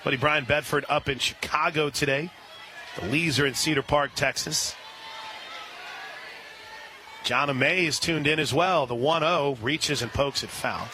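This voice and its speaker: An American man doing live radio play-by-play of softball, chatty and folksy between pitches. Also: moderate pace. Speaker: play-by-play announcer. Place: outdoor softball stadium. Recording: noisy outdoor ballpark broadcast. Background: loud ambient ballpark noise.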